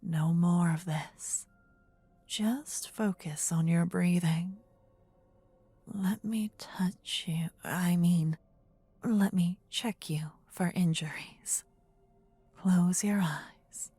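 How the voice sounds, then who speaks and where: A woman playing a possessive, tender demon queen in a fantasy ASMR roleplay, with a soft breathy whisper and an intimate, regal, coaxing manner. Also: on the low side, very slow, no room sound, exaggerated voice. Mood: tender, protective.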